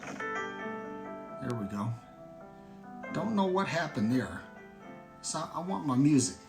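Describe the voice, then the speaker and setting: A middle-aged American man with a slightly raspy voice, hosting an online ministry livestream in a casual, folksy way and addressing viewers directly. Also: mid-range voice, big pitch swings, slow pace, music in the background. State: raspy voice today. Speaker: online ministry host and teacher. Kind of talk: casual livestream talk with shout-outs.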